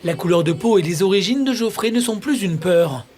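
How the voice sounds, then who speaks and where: High-voiced male TV narrator. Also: expressive, brisk, a little mellow. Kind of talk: scripted TV narration.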